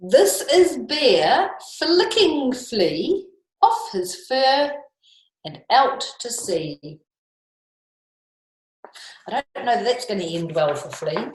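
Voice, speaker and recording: A New Zealand woman reads picture books to small children with a lively, swooping delivery. She takes her time, stretching words out, and drops in chatty asides. The room around her is echoey. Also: on the high side. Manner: expressive, sing-song, drawn-out words for young listeners. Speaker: storytime reader for young children.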